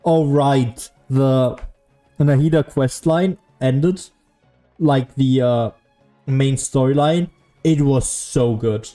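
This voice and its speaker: A man's voice: fairly high pitch, dark tone. A young man giving casual gameplay commentary, rambling, sweary and self-deprecating. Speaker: game streamer. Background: faint game music.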